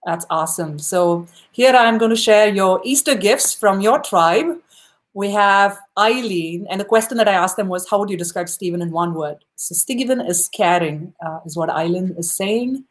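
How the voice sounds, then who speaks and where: A woman's voice: mid-range voice, normal speed. Upbeat woman hosting a live talk show. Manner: upbeat, encouraging, many "you know" fillers. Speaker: podcast host. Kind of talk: casual interview chat.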